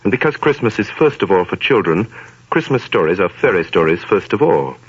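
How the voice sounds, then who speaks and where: Man narrating in a low voice. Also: sing-song, a little fast, husky. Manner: grand, theatrical. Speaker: narrator and host. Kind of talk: measured storytelling narration. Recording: lo-fi 1930s radio broadcast.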